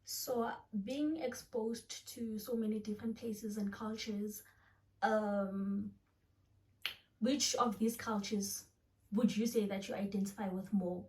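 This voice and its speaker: A woman's voice: mid-range voice, steady tone, slow pace, darkish tone. A woman interviewer asks polite, direct questions in short prompts. She sits a little away from the microphone, so her voice sounds somewhat distant.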